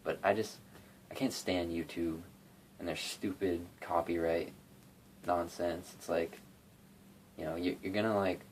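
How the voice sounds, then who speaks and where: Young guy talking low and rambly in his bedroom, lots of um and like, phone mic, bit of hiss. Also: steady tone, a little slow, long pauses. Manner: rambling, many fillers and restarts. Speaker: YouTube cover singer and guitarist. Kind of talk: casual rambling vlog talk.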